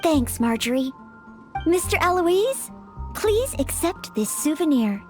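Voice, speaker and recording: A gentle young maid knight from an anime-style game. Her voice is high and very melodic. She speaks with formal courtesy and earnest eagerness to help, with game music clearly behind her. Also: big pitch swings, slow, heavily acted. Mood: polite, formal. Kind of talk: scripted anime-style video game voice acting.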